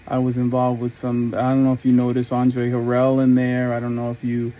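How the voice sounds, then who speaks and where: A man's voice: medium pitch, completely flat delivery, fairly quick, dark tone, dull audio. A middle-aged man telling a personal story in a casual, rambling, reflective way. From New York area, US. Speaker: storyteller, former concert promoter.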